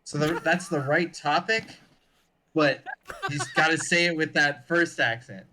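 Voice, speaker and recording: A man's voice: on the high side, unhurried, background noise. A man from the Philadelphia area talking informally in a group chat. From Philadelphia area, USA.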